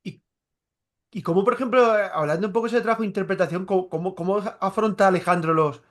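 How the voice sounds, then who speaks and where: Fast, stumbling male interviewer. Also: high-pitched. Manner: rapid, stumbling questions with many restarts and fillers. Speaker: interviewer, journalist. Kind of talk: interview conversation.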